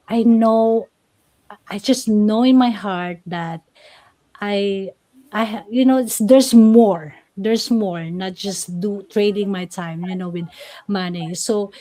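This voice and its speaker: A woman's voice: medium pitch, darkish tone. A woman hosting a live online panel over a video call, chatty, with many fillers and restarts. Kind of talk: casual live stream hosting.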